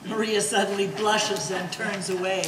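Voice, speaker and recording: A woman's voice: mid-range voice, fairly even intonation, normal speed, distant mic. A woman reading stage directions as scripted narration at a live play reading. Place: art gallery performance space. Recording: echoey hall from afar, faint noise.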